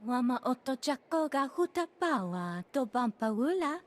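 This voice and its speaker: Alien female, higher-pitched voice, invented alien speech, video game cutscene acting. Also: very animated, normal speed. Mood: earnest. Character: female alien speaking an invented gibberish tongue.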